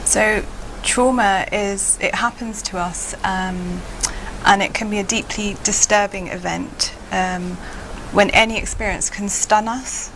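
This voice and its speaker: A gentle woman child psychotherapist explains her work in a thoughtful, unhurried manner, pausing often to find her words. Her voice sits in an ordinary mid register. Clear hiss runs under the recording. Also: mid-range voice, fairly even intonation, poor audio. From United Kingdom. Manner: gentle, thoughtful, pauses with fillers. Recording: hissy background noise.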